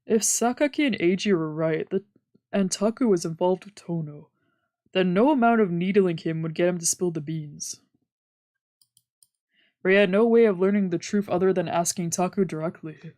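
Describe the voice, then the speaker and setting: A woman's voice: on the low side, normal speed, warm tone, dry recording, clean studio sound. A woman reading visual novel narration aloud as a let's player. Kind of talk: scripted narration, read aloud.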